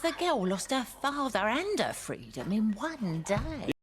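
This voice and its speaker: An actress playing a kindly, motherly older female servant under enchantment in film dialogue, gently chiding. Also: fairly high pitch, huge pitch swings, a little slow. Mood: gentle reproach.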